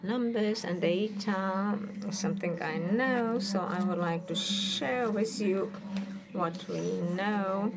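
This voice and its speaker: A woman giving a formal conference talk remotely over a video call, heard amid a loud audience. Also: medium pitch, takes it slowly, very noisy. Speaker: speaker joining a conference remotely. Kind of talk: conference presentation. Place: hospital room, speaking into a conference hall.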